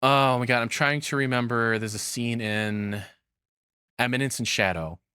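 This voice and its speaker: A man's voice: mid-range voice, moderate pace, bright, forceful tone, clean tone, dry recording, clean studio sound. A man giving casual, opinionated commentary, rambling and swearing bluntly as he goes. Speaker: streamer reacting to a video.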